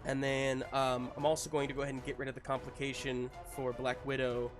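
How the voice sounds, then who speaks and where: A man narrating a tabletop superhero game as game master in a casual, halting way, often searching for his words. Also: mid-range voice, little pitch movement, over music.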